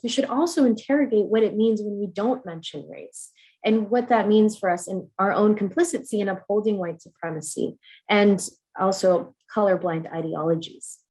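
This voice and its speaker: A woman from the United States giving an academic conference talk, measured and earnest, with frequent ums and uhs. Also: mid-range voice, darkish tone, slightly rough, rough recording. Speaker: conference speaker.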